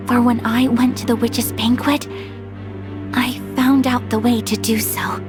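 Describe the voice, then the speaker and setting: A woman voicing a pensive, cautious cookie adventurer in a game, with a thoughtful, measured manner. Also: a little high, steady tone. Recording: music behind the voice.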